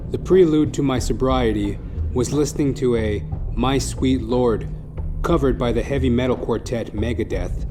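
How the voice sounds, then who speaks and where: Theatrical male storyteller over prominent music. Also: mid-range voice. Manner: theatrical, wordy, mock-confessional storytelling. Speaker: podcast host and comedian. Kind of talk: scripted comic monologue, audio play narration. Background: music behind the voice.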